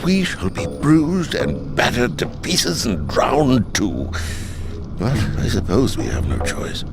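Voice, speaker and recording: A man acting a weary, gloomy old dwarf with a gravelly voice in a fantasy video game. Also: mid-range voice, huge pitch swings, slow pace, full character voice. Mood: glum resignation. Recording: loud music under the voice.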